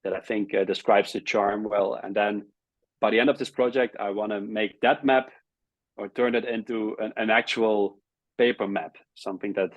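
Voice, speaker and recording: Dutch man, lowish voice, dry close sound, halting interview guest. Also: steady tone, dry recording, muffled recording. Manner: hesitant, repeats words while searching for phrasing. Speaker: guest, travel video creator. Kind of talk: casual interview talk.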